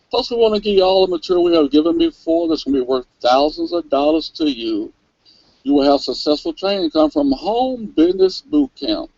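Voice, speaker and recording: A man reading out a sales offer from a script in a brisk, upbeat pitch. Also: vocal fry, darkish tone, no room sound. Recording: dry, dull lo-fi webcast audio.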